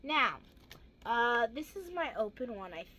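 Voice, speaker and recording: A teenage girl talks through a crafting project in a hoarse, gravelly voice. Her tone is bright and a little higher than most, and she rambles chattily, tossing in self-deprecating asides. A faint ambient hum sits behind her. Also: big pitch swings, a little slow. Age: teens. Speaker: craft vlogger. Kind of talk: casual crafting vlog.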